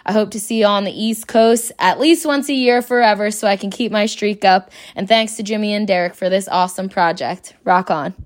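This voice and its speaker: A woman's voice: mid-range voice, a little fast, few pauses. A woman in her late twenties from New Jersey, giving a personal testimonial as a fan in a voice message. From United States, New Jersey. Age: late 20s.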